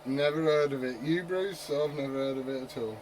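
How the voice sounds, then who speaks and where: A man's voice: medium pitch, a little fast. A man hosting a casual chat over CB radio. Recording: noisy CB radio transmission.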